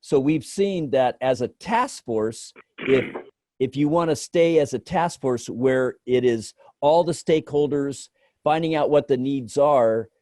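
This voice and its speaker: An American man giving informal advice on a conference call, phrasing his points haltingly. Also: mid-range voice, darkish tone, no room sound. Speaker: featured guest consultant. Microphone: video call microphone.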